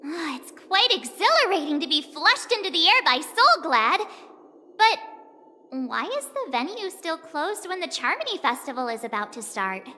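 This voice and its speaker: A tiny, very high girlish sidekick voice for a video game. She is jittery and expressive, swooping in pitch, heard in a reverberant space. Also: normal speed, exaggerated voice. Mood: excited, puzzled. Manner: expressive, exclaiming, chatty. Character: excitable, jittery small girlish companion. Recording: reverberant, with clear background noise.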